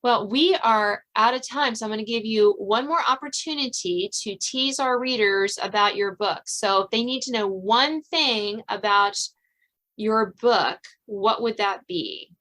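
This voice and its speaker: A woman's voice: fairly high pitch, a little slow, fairly bright tone, poor audio. Woman hosting a conversational podcast interview. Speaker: podcast host.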